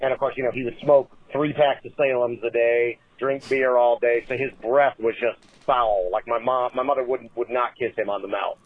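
A man calling in to leave a rambling phone message, halting with many fillers and restarts. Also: mid-range voice, fairly even intonation, no room sound. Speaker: caller. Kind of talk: recorded voicemail story. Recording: tinny phone line.

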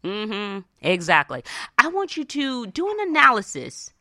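A woman's voice: medium pitch, huge pitch swings, measured pace, pushed and bright, no room sound, studio quality. A woman hosting a motivational advice show, speaking emphatically and colloquially, often checking in with short questions. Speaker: host of a money advice show. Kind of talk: motivational personal finance radio talk.

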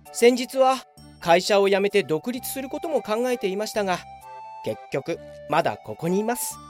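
A woman's voice: medium pitch, a little mellow, lightly acted. A woman playing a self-justifying, grumbling male office worker in a comedic radio drama. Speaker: voice actor. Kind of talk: radio comedy drama acting with inner monologue.

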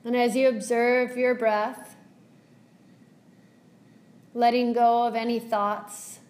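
Woman doing guided yoga nidra, soft gentle delivery, really slow w long pauses, pretty flat melody. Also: a little high. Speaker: yoga nidra meditation teacher. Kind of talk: guided meditation.

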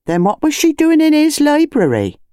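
A woman plays a pert village housewife in an audiobook. She is nosy and insistent, pitched high, with a close, dry sound. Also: huge pitch swings, moderate pace, warm tone, dry recording. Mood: curious. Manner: short, high, insistent questions. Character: constable's inquisitive wife, pert village housewife. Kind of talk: audiobook character dialogue.

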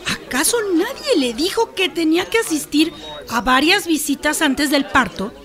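High-voiced, bossy Mexican village woman. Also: animated, moderate pace, a bit bright. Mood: scolding, instructive. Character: Clara, brisk impatient know-it-all village woman. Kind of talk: Spanish-language radio drama acting.

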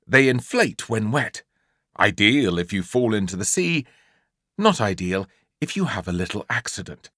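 A man's voice: fairly low pitch, fairly bright tone, husky, dry recording, clean studio sound. A man with an English accent narrating a comic children's story in a theatrical, emphatic way, drawing out key words. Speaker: audiobook narrator.

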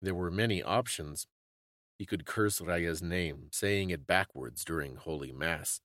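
Low-voiced man reading a dramatic tale. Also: measured pace, a bit bright, dry recording, studio quality. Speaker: podcast host giving a dramatic reading. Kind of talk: dramatic scripted storytelling narration.

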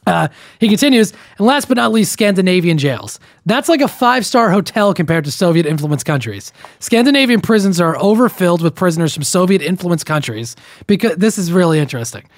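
A man's voice: sits high, lively intonation, a little fast, dry recording, clean studio sound. A young man co-hosting a podcast, reading listener emails aloud and breaking in with his own comments. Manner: reads emails aloud with running commentary, frequent restarts. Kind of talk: casual podcast chat.

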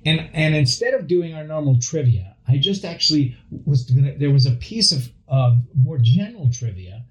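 A man's voice: a little high, expressive, moderate pace, muffled recording. A man co-hosting a food radio show, chatting casually in a kitchen and explaining things in a hesitant, roundabout way. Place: indoors in a kitchen.

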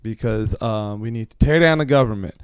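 A man's voice: fairly low pitch, fairly quick, a little hiss or hum. A man hosting a podcast in a casual, joking, profane and rambling way. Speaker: podcast host. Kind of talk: casual comedic chat.

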